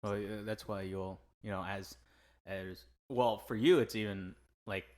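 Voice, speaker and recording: A man's voice: lowish voice, steady tone, unhurried, darkish tone. A man whose first language is Vietnamese, co-hosting a casual podcast chat, loose and full of fillers, stumbling over words now and then. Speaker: podcast co-host.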